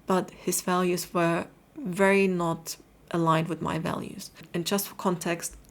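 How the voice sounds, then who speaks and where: A woman's voice: on the low side, level tone, a little slow. A woman from Austria in her thirties talking candidly to camera in a motivational vlog, chatty and casual with some fillers. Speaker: vlogger.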